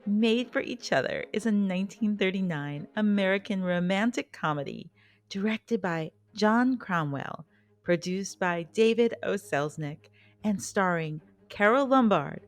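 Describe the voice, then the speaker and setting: A woman's voice: medium pitch, expressive, a little slow. A woman reading scripted documentary narration as the host of the programme. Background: soft background music.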